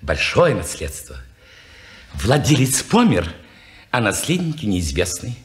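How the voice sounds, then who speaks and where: A man's voice: fairly low pitch, huge pitch swings. An odd, evasive man of forty. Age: 40s. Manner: halting, evasive, mysterious. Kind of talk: 1950s radio play acting.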